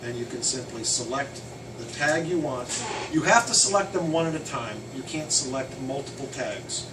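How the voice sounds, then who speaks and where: A man giving a casual, hands-on software training walkthrough, loud and emphatic on key points. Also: medium pitch, expressive, moderate pace. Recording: roomy, distant, noisy air conditioning.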